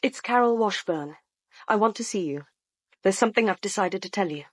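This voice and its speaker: A woman's voice: medium pitch, expressive, brisk, no echo, close to an everyday voice. Guarded, terse woman, close and dry, acted. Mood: guarded, insistent. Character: guarded, insistent female witness. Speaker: actress in a radio drama. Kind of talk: British radio drama dialogue.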